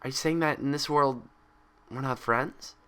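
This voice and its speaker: A man's voice: medium pitch, measured pace, bright, forceful tone, smooth, voice pushed up. A man voicing an earnest, easily exasperated young female cartoon pony with an urgent, dry, defensive way of talking.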